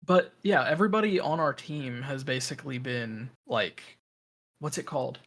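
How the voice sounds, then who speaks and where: A man's voice: mid-range voice, moderate pace. A man who runs a game community, speaking candidly and with animation in a casual interview over a voice call. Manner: candid, animated, frank opinions.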